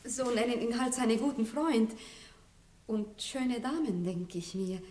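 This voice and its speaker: A woman's voice: fairly high pitch, expressive, a little slow, darkish tone, a little hiss or hum. An actress playing a naive, proud young Viennese bride-to-be in a radio play, girlish and earnest. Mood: eager, coy. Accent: Viennese Austrian. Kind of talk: 1960s radio play acting. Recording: old roomy radio studio recording.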